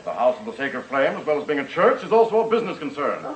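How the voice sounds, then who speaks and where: A man's voice: medium pitch, huge pitch swings, fast. An actor playing a brash, domineering businessman villain who passes himself off as American, in a radio drama. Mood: smug, businesslike. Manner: blunt, domineering. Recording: echoey room, dull and noisy.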